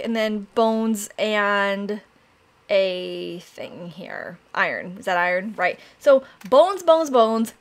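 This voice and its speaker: Excited high-voiced woman, raspy from allergies. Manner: chatty, excitable, addresses "you guys" often. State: raspy from allergies, clearing throat. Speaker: Minecraft let's play host. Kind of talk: casual gameplay commentary.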